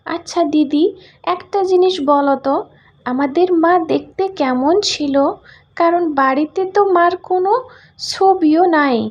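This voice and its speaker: A woman's voice: high voice, measured pace, dull audio, voice pushed up. A woman voicing an anxious, curious little girl in a storybook cartoon, with an earnest, childlike manner. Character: anxious, curious little girl asking her elder sister.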